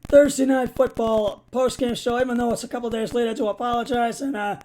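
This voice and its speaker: A raspy-voiced man hosting a sports show, recapping a football game in a halting, hesitant way with frequent stumbles. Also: very high voice, fairly even intonation, a little mellow, slight background noise.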